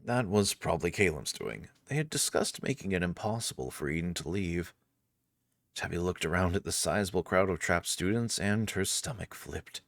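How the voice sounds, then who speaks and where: Deep-voiced man narrating a story. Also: animated, moderate pace, vocal fry, no room sound, studio quality. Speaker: narrator of a visual novel playthrough. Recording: soft music behind the voice.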